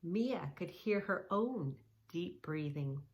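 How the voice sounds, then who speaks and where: Slow, soothing woman reading to kids. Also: on the low side, expressive, dull audio. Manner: gentle, soothing, instructive. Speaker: kids yoga teacher and reader. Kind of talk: reading a picture book aloud and guiding yoga poses.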